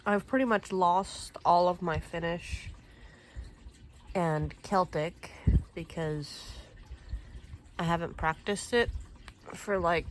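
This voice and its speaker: A woman in her thirties, a native Swedish speaker, chatting casually while crafting at home, rambling with lots of fillers and throat clearing. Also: fairly low pitch, slow pace, pauses often, a little hiss or hum, low-quality recording. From Sweden. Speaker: crafting vlogger.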